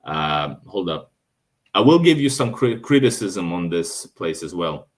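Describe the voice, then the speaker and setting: Deep-voiced Russian man rambling casually. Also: big pitch swings, a little slow. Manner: rambling, with restarts and self-corrections. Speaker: travel vlogger. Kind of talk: casual talk over his own video footage.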